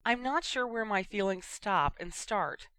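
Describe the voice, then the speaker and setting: A woman's voice: medium pitch, measured pace, pushed and bright, clear, resonant tone, no room sound, studio quality, voice pushed up. A woman voicing an upset young woman who pleads emotionally and defensively. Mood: distraught.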